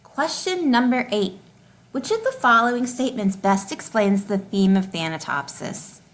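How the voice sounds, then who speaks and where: A woman reading test questions and answer options aloud as a teacher, deliberately and with each option set clearly apart. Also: fairly high pitch, animated.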